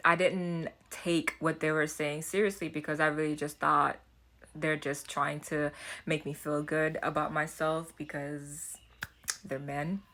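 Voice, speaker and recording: A woman with a Haitian accent speaks in a notably low register, her tone bright and forward. She talks to camera in an earnest, chatty way, circling back and repeating words as she thinks aloud. The delivery is relaxed and personal, like a vlogger sharing advice. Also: a little slow. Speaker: self-development vlogger. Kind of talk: casual talk to camera.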